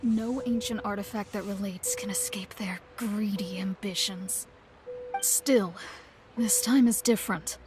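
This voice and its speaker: A woman playing a young, poised and knowing guild receptionist in an anime-style game, speaking in a measured, courteous, explanatory way. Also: medium pitch, steady tone, natural voice. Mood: musing, indignant. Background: game music.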